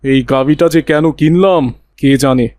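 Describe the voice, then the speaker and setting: Guy doing a cranky cartoon village father, scolding, voice a bit high, very dry sound. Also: moderate pace, a little mellow, no room sound, natural voice. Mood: angry, grumbling. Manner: grumbling, scolding. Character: stern, grumbling village father, irritable and doubtful. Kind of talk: cartoon voice acting.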